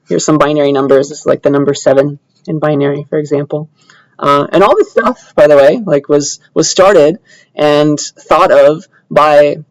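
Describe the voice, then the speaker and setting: A man's voice: a little high, a little mellow, dry recording, poor audio. A man lecturing informally to a computer science class in a conversational way, often checking in with short tag questions. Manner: casual, conversational, checks in with tags like "all right" and "yeah".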